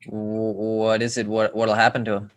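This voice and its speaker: A man's voice: lowish voice, completely flat delivery, normal speed, lightly acted. A man playing a nervous, reluctant accomplice, hesitant and stammering, in a screenplay table read. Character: nervous, reluctant young man pressed into a plot.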